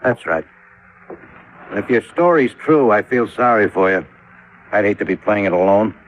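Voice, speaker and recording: An American actor playing a blunt, skeptical homicide sergeant in an old-time radio drama. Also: male voice, fairly low pitch, expressive, moderate pace, natural voice. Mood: blunt, dismissive. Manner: blunt, gruff, unimpressed. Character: gruff, skeptical, grudging homicide police sergeant. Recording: dull, noisy vintage radio recording.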